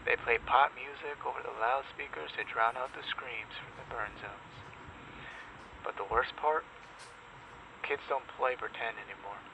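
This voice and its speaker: Gravelly, jaded apocalypse radio host, played by a man. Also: mid-range voice, steady tone, a little slow. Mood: grim, weary. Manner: sardonic, world-weary, folksy. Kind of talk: dramatic scripted monologue as late-night radio host. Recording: dull, noisy lo-fi radio broadcast.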